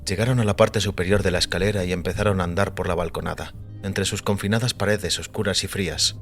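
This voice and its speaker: A man's voice: low voice, vocal fry, fairly bright tone. A man reading scripted audiobook narration as a storyteller. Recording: long reverberant hall with music behind. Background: music.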